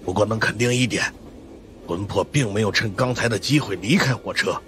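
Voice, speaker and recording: A man's voice: lowish voice, fairly quick, fairly bright tone, a little hiss or hum, lightly acted. A man voicing a stern, knowing older monk consultant in a ghost-story audiobook, grave and explanatory. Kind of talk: audiobook character acting.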